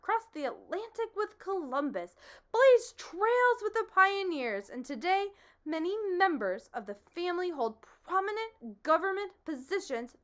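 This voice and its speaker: A woman voicing a boastful, pompous old male humbug bug who blusters loudly. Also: very high-pitched, voice pushed up, heavily acted. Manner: blustering, grandiose, loud.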